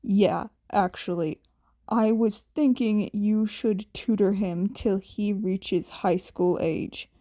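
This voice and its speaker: A woman voicing a gruff, tired, blunt but protective man with a grudging deadpan delivery in a fan fiction audiobook. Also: mid-range voice, slow, no echo, studio quality, voice pushed down, natural voice. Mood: blunt, firm.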